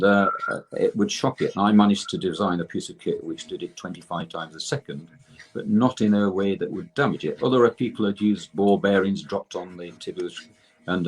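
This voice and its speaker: An older man explaining technical matters as an interview guest, in a halting way with repeated words. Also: low-pitched, fairly even intonation, breathy edge, rough recording. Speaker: guest expert and researcher in electrotherapy.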